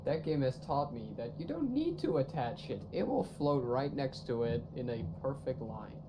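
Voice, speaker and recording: Young guy on stream, jokey and laughy, mid voice, clear hiss on his mic. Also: medium pitch, normal speed. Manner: animated, laughs often, playful sarcasm. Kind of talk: casual chat while gaming. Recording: noisy stream mic audio.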